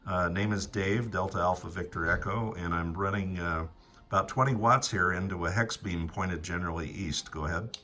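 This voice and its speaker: A middle-aged American man chatting casually as an amateur radio operator, with a halting, pause-filled delivery. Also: low-pitched. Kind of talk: casual ham radio conversation.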